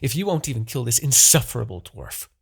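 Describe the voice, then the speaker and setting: A man's voice: medium pitch, very animated, brisk, gravelly, husky, full character voice. A man playing an imperious, obsessive demon king who is easily exasperated, in a theatrical, brooding fantasy audio roleplay. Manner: theatrical, brooding, swinging between murmurs and outbursts.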